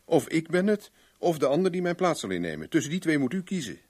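An actor playing a shrewd, self-assured private investigator in a Dutch radio play, probing in a blunt, wry, plain-spoken way. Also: male voice, fairly high pitch, sing-song, quick pace, darkish tone, slightly rough. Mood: firm, matter-of-fact.

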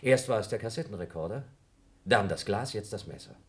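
A man's voice: fairly low pitch, lively intonation, brisk, natural voice. Blob, a man playing a cold, accusing younger rival. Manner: coldly logical, accusing, growing frantic. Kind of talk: broadcast radio play acting.

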